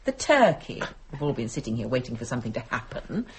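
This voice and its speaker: Animated brisk, plucky woman. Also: a bass voice, breathy edge, full character voice. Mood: brisk irony. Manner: brisk, insistent questioning. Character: brisk, plucky, sardonic woman taking charge. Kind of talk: dramatic radio play acting.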